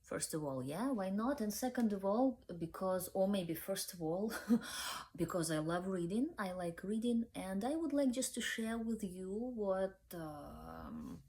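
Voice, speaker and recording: A woman's voice: medium pitch, very animated, measured pace, a little mellow. A young woman with a Ukrainian accent hosting a book review channel, speaking hesitantly with many fillers. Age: young adult.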